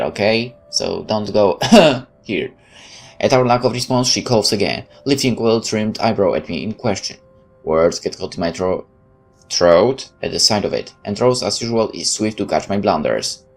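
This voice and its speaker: A man's voice: on the low side, animated, unhurried, gravelly. A man playing through a game, reading its text aloud and adding sarcastic asides. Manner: sarcastic, interjecting quips. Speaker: let's player.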